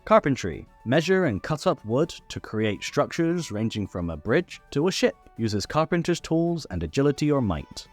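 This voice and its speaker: A man's voice: mid-range voice, big pitch swings, normal speed, few pauses. A man hosting a scripted tabletop game rules explainer, listing things step by step. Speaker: tutorial host. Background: background music.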